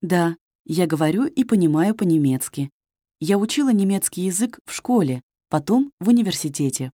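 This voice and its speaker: A woman's voice: fairly low pitch, lively intonation, moderate pace, warm tone, no room sound, clean studio sound. A woman playing a friendly journalist in a scripted textbook dialogue, reading evenly and carefully. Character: adult female journalist, friendly and polite. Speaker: dialogue actor in a listening test.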